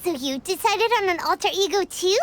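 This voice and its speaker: Squeaky, very high fairy sidekick. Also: female voice, fairly quick, slight background noise. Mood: curious. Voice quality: squeaky, childlike. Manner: bubbly, refers to herself in third person. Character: tiny excitable childlike floating fairy companion, female. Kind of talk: video game character acting. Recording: loud music under the voice.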